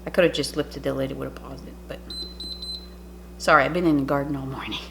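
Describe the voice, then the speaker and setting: A woman's voice: extremely low pitch, fairly even intonation, fast talker, gravelly, fairly bright tone, slight background noise. A woman whose first language is French, a cooking YouTuber chatting and rambling through an air-fryer demo in her home kitchen. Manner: chatty, rambling, self-interrupting.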